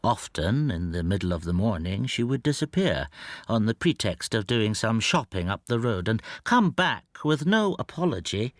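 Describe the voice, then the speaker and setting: An actor in a radio drama playing a meek, anxious middle-aged bachelor, speaking hesitantly and confidingly. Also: male voice, fairly low pitch, very animated, normal speed, dry recording. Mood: subdued, confiding. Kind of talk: radio drama acting with intimate first-person narration.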